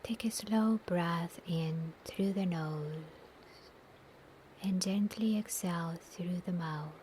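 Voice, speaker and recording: A woman leading a guided meditation in a soft, breathy, near-whispered and soothing voice, with long pauses between short instructions. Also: fairly low pitch, slight background noise, rough recording. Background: soft nature ambience.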